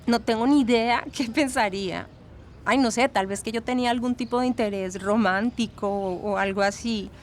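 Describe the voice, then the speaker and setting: A chatty, soft-hearted woman with a Latin American accent, played by an actress gives testimony in an audio drama. Her voice is fairly high, gossipy and expressive, with faint ambient noise behind. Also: moderate pace, natural voice. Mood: chatty, sympathetic.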